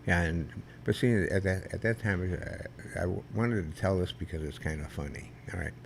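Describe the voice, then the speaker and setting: An older man, a veteran guest, telling stories in a rambling, halting way with many restarts and a loud voice that carries. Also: low-pitched, gravelly, a little hiss or hum. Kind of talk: casual storytelling interview.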